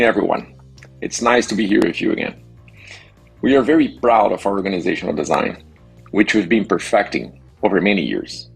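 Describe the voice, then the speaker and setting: A man's voice: on the low side, creaky, breathy edge, rough recording. A man delivering a prepared corporate presentation as a company executive.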